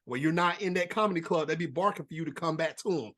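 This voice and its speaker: A man's voice: fairly high pitch, quick pace, a bit bright. A man hosting a podcast interview, talking in a loose, slangy, hype-host way.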